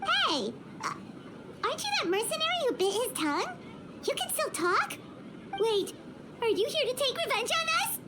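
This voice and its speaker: A woman's voice: very high-pitched, very animated, normal speed, long pauses, heavy background noise. A woman voicing a tiny, chirpy, childlike fairy companion in a video game, exclamatory and blunt. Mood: startled, suspicious.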